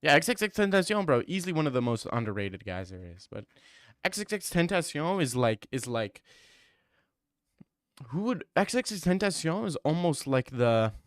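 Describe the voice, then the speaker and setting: A man's voice: on the high side, big pitch swings, normal speed, dry recording, studio quality. A young man streaming and answering viewer comments in a casual, teasing way, full of mock bravado.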